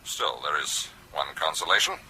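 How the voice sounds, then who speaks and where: An actor playing a businesslike, unflappable airline operations officer in a radio drama, formal and matter-of-fact. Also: male voice, rumbling low, sing-song, moderate pace, vocal fry, sharp tone, slight background noise, full character voice, low-quality recording. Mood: apologetic, unmoved. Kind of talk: broadcast radio drama acting.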